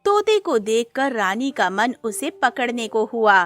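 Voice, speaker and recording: Slow-paced woman storyteller. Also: a little high, very animated, a bit bright. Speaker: narrator. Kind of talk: scripted children's-style story narration. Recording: music under the voice.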